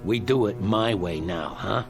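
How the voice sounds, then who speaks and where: An actor playing a greedy, treacherous crook in a radio drama, talking in a blunt, taunting, colloquial way. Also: male voice, lowish voice, unhurried, vocal fry, faint music behind. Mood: smug, callous. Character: sly, callous, greedy male crook, mocking and treacherous.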